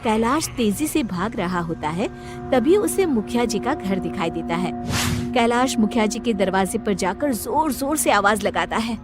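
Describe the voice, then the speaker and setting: A woman narrating a scripted horror story with an expressive, dramatic delivery. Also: medium pitch, normal speed, over music.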